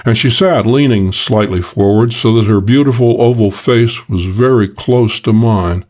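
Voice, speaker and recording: Male narrator, low register, for first-person hard-boiled detective fiction read from a script. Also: measured pace, darkish tone. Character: hard-boiled middle-aged male detective, gruff and unsentimental. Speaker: audiobook narrator.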